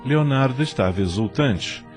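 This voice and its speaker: A man's voice: medium pitch, expressive, normal speed, soft background music. A Brazilian man delivering scripted narration for an audio drama.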